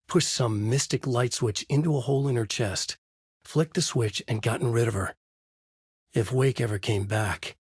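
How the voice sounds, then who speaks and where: A man's voice: mid-range voice, slightly rough, dry recording, clean studio sound. A man voicing a haunted male horror novelist, delivering grim noir-style inner monologue as game voice lines. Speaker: narrator.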